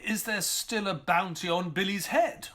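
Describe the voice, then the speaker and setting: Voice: mid-range voice, measured pace, a bit bright. An actor playing a dry-witted, proper army doctor in an audio drama, with a polite, wry manner. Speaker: narrator and companion. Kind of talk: audio drama narration and acting.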